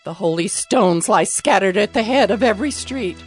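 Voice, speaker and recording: A woman's voice: medium pitch, animated, normal speed, soft background music, close to an everyday voice. A woman playing a grieving mourner of her ruined city and people, in a solemn, poetic dramatized scripture reading. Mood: mournful lament.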